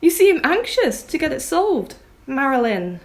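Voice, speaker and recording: A woman's voice: high voice, very animated, unhurried, heavily acted. A woman playing a genteel, arch lady of the manor who is suspicious of her house guest.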